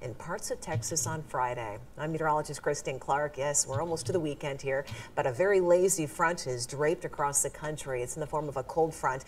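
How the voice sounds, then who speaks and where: A woman's voice: low voice, fairly quick, few pauses, darkish tone. An American woman delivering a broadcast weather forecast as a TV meteorologist. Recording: distant replayed broadcast, faint noise.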